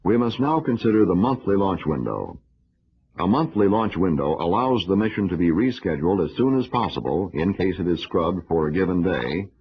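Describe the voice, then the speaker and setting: A dull, lo-fi film soundtrack carries a man's low, husky voice. He narrates an educational film from a script, formal and measured, explaining each point in an instructional way.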